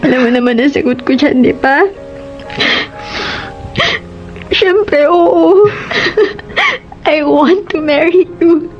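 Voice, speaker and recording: A woman playing a gentle, loving mother in a radio drama, speaking softly and emotionally. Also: high voice, slow pace, highs cut off, soft background music. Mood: tearful, grateful. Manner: soft, emotional, reassuring. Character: gentle, apologetic, loving mother of young child. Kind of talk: Tagalog radio drama acting.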